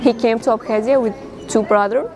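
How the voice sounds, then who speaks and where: A young woman from Syria, telling her family's story in an interview, halting and full of fillers. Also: on the high side, fairly even intonation, a crowd in the background. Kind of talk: personal storytelling.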